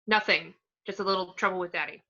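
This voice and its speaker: A woman's voice: fairly low pitch, fast, sharp tone, lo-fi sound. A woman playing an anxious, take-charge superhero mother in an amateur online table read. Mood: brisk, worried.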